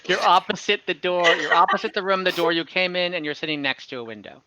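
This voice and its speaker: A man's voice: sits high, fast, highs cut off, heavy background noise. A man casually narrating an online tabletop game as game master. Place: home room on a video call.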